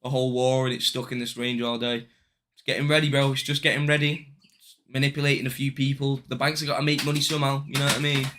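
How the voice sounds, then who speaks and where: A man's voice: medium pitch, little pitch movement, quick pace, a bit bright. A young man chatting casually on a live trading stream, slangy and swearing as he banters with viewers. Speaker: day trading livestreamer.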